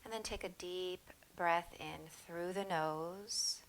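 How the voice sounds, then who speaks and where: A woman yoga teacher guiding a breathing practice with slow, soothing, calm cues. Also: lowish voice, slight background noise, rough recording. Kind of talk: guided yoga breathing instruction.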